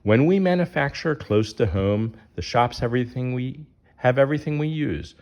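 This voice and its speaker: A man's voice: fairly low pitch, darkish tone. A man reading a rhyming children's picture book aloud as its narrator.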